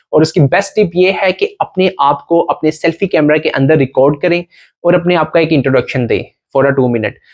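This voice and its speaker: A man giving an exam tutorial, talking fast in Hindi-Urdu and slowing down for deliberate English, often checking in with the viewer. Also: fairly high pitch, darkish tone. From Pakistan. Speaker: IELTS coach.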